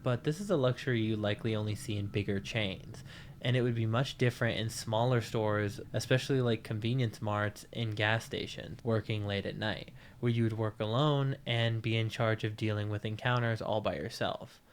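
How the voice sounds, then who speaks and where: A man's voice: fairly low pitch, a little hiss or hum. A young man hosting a video essay, narrating from a script in a casual, wry way with offhand jokes and the odd swear. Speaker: YouTube commentary host.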